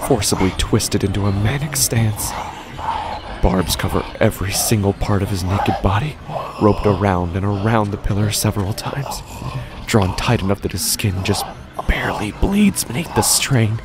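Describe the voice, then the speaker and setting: A man playing an uneasy, haunted gambler, narrating a first-person horror audio drama in a halting, deliberate, breathy voice that often nears a whisper. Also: lowish voice, very noisy, close to an everyday voice. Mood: horrified awe. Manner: halting, hesitant, self-repeating, slow and deliberate. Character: uneasy, haunted male gambler telling his nightmare. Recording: continuous music bed under the voice. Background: loud music.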